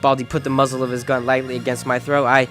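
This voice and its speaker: An actor playing a hard-boiled, wisecracking private detective in a noir radio drama, terse and wry. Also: male voice, mid-range voice, level tone, fast talker, over music, close to an everyday voice. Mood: grim narrating. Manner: wry, terse, tough-talking. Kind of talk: noir radio drama acting and first-person narration.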